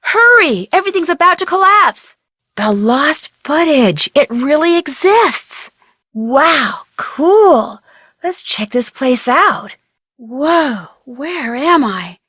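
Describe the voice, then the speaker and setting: A young American woman playing an inquisitive, plucky amateur detective in an adventure game, speaking earnestly and expressively with clear enunciation. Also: high-pitched, big pitch swings, slow pace, a bit bright, no room sound, clean studio sound, exaggerated voice. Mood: excited, startled. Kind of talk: scripted adventure game voice acting.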